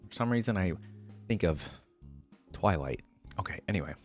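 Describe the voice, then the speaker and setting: A man's voice: sits low, expressive, normal speed, darkish tone, soft background music. A man hosting a reaction video, reading game lore aloud expressively and adding casual, informal commentary.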